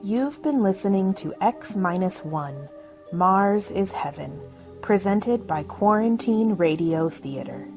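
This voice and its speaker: Lady announcer reading radio play credits, slow pace, music under her. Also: medium pitch, lively intonation, a little mellow. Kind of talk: scripted credits narration.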